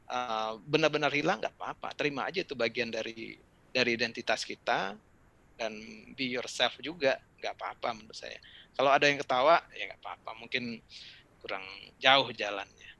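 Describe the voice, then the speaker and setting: A middle-aged man from Indonesia giving relaxed, conversational advice over a video call, with fillers and asides. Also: medium pitch, normal speed, gravelly, long pauses, dull audio. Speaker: speaker answering questions in an online session. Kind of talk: casual talk and advice.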